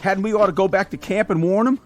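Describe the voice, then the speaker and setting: An actor playing an anxious, naive young soldier in a radio drama, earnest and worried. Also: male voice, sits high, fast, natural voice. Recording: music bed under radio drama dialogue.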